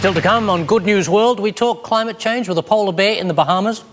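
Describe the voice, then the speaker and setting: A man's voice: high-pitched, fairly quick, loud music behind the voice. A man hosting a satirical news show, interviewing in a pushy manner and repeating his questions. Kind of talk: mock interview. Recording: studio, loud audience laughter.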